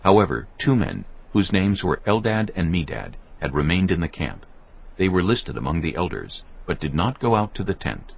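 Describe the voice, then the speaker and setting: A man narrating scripture from a script in a formal, measured storytelling manner. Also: deep voice, very animated, creaky, breathy edge, dull audio. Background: music.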